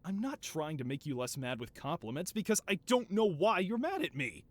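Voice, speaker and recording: Man playing a flamboyant, high-voiced supervillain boss. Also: sing-song, moderate pace. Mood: defensive, coaxing. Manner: theatrical, wheedling, self-justifying. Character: flamboyant, doting male supervillain mad scientist boss. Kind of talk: scripted character audio roleplay.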